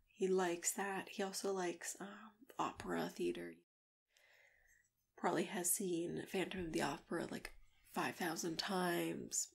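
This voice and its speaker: Casting: female ASMR role-player, gentle and hushed, half whispered, mid-range pitch, unhurried delivery with murmured acknowledgements. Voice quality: soft, often dropping into a whisper. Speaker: ASMR performer role-playing a matchmaker. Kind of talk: soft-spoken ASMR role-play chat. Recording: clear background noise with keyboard typing. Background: typing and clicking.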